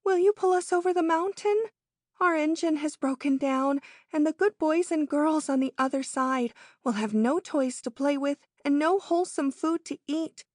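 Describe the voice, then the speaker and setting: Female actor, little pleading toy, storybook character, very high pitch, imploring, earnest, no room sound. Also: no echo, clean studio sound. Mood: urgent pleading. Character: small, pleading dolls and toys. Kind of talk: children's storybook dramatic reading.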